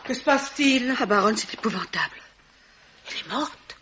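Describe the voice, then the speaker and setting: An actress playing an excitable, easily horrified hotel proprietress in a French radio mystery, exclaiming and stammering melodramatically. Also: female voice, on the high side, normal speed. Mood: anguished. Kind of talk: French 1950s-style radio mystery acting. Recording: dull, noisy vintage radio-drama recording.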